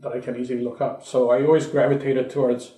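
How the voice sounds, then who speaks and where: A man in his sixties, born in Hungary, recalling his childhood in a reflective, halting way during an oral history interview at his home. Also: mid-range voice, level tone, warm tone, echoey room. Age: 60s.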